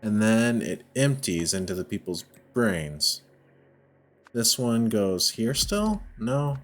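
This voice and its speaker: A man's voice: lowish voice, very animated, slow pace, soft background music. A man giving casual gameplay commentary, thinking aloud in a hesitant, self-questioning way as he works through a puzzle game. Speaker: video game playthrough host.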